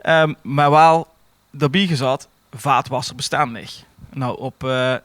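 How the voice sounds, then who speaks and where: A man telling an everyday anecdote in a halting, colloquial podcast chat. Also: medium pitch, fairly even intonation, fairly bright tone, dry recording. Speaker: podcast host.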